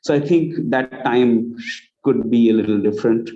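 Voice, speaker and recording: A man's voice: medium pitch, moderate pace. A man with an Indian accent giving conversational spoken feedback as a judge on an online call. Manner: conversational, acts out example lines. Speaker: judge giving feedback on student audio plays. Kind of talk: spoken critique on an online event. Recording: dull, lo-fi online call audio.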